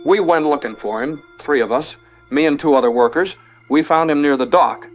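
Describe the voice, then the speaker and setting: Man acting a shaken construction foreman survivor, slightly high voice, halting and grave, lo-fi phone line, music behind. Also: close to an everyday voice. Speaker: interviewed survivor. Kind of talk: audio drama acting, news phone-in.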